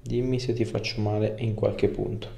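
A man in his thirties with a low, creaky voice. He talks a patient through a hands-on treatment in short, informal instructions. The small clinic room echoes around him. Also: flat delivery, moderate pace, mellow. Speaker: chiropractor.

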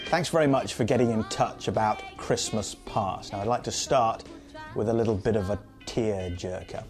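A man's voice: fairly low pitch, normal speed, soft background music. A middle-aged British man, a TV reporter, reading scripted feature narration.

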